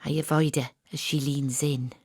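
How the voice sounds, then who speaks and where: An actress playing a wry, sceptical Welsh private detective in a noir-parody audio drama, with deadpan asides. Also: female voice, low voice, normal speed, breathy edge, dry recording, clean studio sound. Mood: wry, suspicious.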